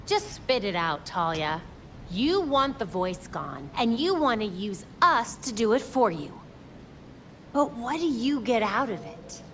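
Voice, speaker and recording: A young female vigilante from a video game, with a slightly high voice that moves up and down freely. She is blunt, defiant and sarcastic, and pushes back sharply. Faint noise lies behind her. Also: big pitch swings, a little slow, a bit bright, exaggerated voice. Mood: impatient. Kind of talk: video game cutscene acting.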